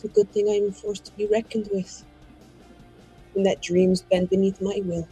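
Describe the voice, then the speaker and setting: A player of unclear sex voicing a bitter, proud and defiant mage in a tabletop game, with clipped, deliberate, cutting delivery and a husky voice. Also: medium pitch, level tone, mellow, faint music behind, rough recording. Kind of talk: in-character improvised dramatic dialogue.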